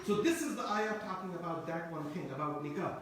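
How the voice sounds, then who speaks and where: High-voiced man lecturing in an echoey room. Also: expressive, brisk, fairly bright tone, slight background noise. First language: Turkish. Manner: discursive, repeats and restarts phrases. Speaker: Quran teacher. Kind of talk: tafseer lecture. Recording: echoey room, mic at a distance.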